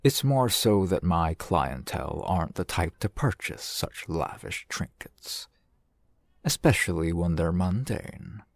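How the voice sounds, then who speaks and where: A man's voice: low voice, expressive, measured pace, vocal fry, dry recording, heavily acted. A man playing a courteous, shrewd fantasy shopkeeper in an ASMR roleplay, with formal, eloquent merchant patter. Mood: gracious, measured. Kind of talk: ASMR fantasy roleplay, softly acted one-sided dialogue.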